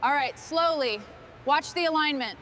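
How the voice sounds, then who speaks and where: A woman's voice: sits high, moderate pace, pushed and bright, a little hiss or hum. A woman leading a workshop team, giving short, encouraging instructions and comments as the work goes. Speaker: team boss in a truck restoration workshop.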